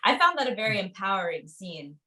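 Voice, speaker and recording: A woman's voice: mid-range voice, big pitch swings, a little fast, bright, forceful tone, rough recording. A woman co-hosting a casual literary discussion in an informal, slangy way.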